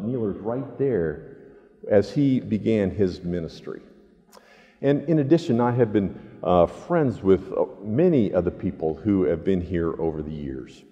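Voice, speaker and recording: A man in his sixties preaching a reflective, conversational sermon as a pastor, earnest and deliberate with emphatic pauses. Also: on the low side, dark tone. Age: 60s. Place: large empty church sanctuary, indoors. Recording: reverberant church hall, slightly muffled.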